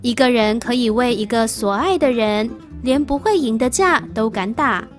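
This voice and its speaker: Higher-voiced woman reading prompts over music. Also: normal speed. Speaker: reader of quiz prompts. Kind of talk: scripted reading, repeated prompts. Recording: music playing behind the voice. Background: instrumental music.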